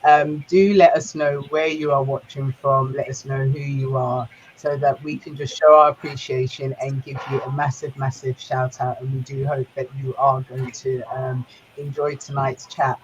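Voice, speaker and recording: A woman from Ghana, co-hosting a livestream chat, slightly hoarse, friendly and quick to laugh, stopping now and then to rest her voice. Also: rumbling low, little pitch movement, slight background noise. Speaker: co-host. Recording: slightly dull lo-fi sound.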